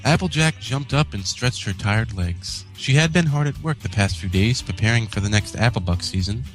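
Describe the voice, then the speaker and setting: Low-voiced man narrating, lively intonation. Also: normal speed, faint music behind, rough recording. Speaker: co-host and story reader. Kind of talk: scripted fan fiction narration.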